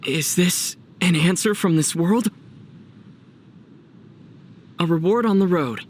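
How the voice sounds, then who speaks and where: A determined young adventurer from a fantasy game, with a fairly high voice for a male. He takes his time over his words, and some background noise sits behind him. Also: takes it slowly. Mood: calm, thoughtful. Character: young male traveler hero, earnest and determined. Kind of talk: video game voice acting.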